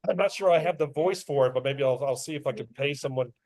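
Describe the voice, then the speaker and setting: A man's voice: medium pitch, fast talker, a little mellow. A middle-aged man, an author speaking at a club meeting, chatting casually and poking fun at himself. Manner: chatty, self-deprecating, laughs often. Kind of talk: casual club talk with questions.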